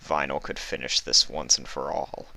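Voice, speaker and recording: A man's voice: rumbling low, steady tone, moderate pace, creaky, pushed and bright, dry recording, poor audio. A man narrating a written fan story as its reader.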